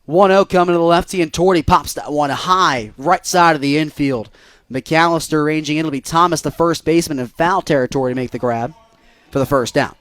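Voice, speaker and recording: A man's voice: a little high, a little fast, fairly bright tone, dry recording. A young American man doing live radio play-by-play of a baseball game as the announcer.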